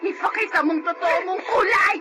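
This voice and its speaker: Very high-pitched, scolding old Filipino grandmother. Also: normal speed, raised voice, a loud crowd, very noisy. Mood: furious wailing. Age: older. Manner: shrill scolding, theatrical wailing. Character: bitter, quarrelsome elderly grandmother who scolds and wails. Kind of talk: melodramatic drama acting.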